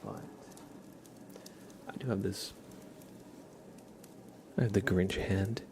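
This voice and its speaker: A soft-spoken man hosting an ASMR livestream, gently chatting with viewers and often slipping into a whisper, close on the mic. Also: low-pitched, steady tone, slow, slight background noise. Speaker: livestream host. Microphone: close ASMR microphone.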